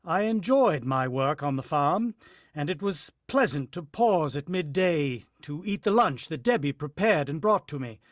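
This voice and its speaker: A man playing a reflective war-veteran farmhand, narrating a radio drama in the first person with measured delivery. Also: on the high side, expressive, no room sound, clean studio sound. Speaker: actor narrating as the lead character.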